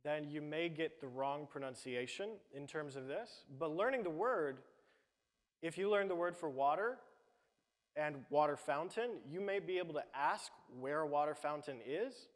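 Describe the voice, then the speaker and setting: A man's voice: fairly high pitch, moderate pace. A man, the business lead of a startup, explaining his team's product to an audience. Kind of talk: audience Q&A pitch answer. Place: event hall. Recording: long hall reverb.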